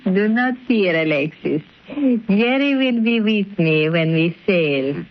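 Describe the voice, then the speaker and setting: A woman playing a cool, threatening exotic dancer villainess with formal, deliberate phrasing, in 1930s radio drama style. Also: mid-range voice, lively intonation. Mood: confident, scheming. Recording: muffled 1930s radio recording.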